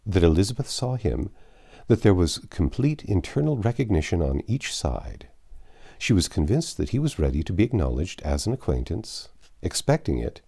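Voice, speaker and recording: A man with a very low, mellow voice reads literary fiction aloud. His pitch moves widely as he tells the story, with measured, deliberate phrasing. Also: creaky, slightly rough. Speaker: audiobook narrator. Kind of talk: literary novel reading, scripted narration.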